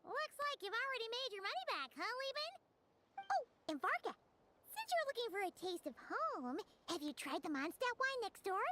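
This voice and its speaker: A woman's voice: extremely high pitch, sing-song, moderate pace, noisy background. A woman voicing a small, cheeky, curious childlike girl companion in a video game, chatty and exclaiming. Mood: curious, teasing. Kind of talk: English video game voice acting.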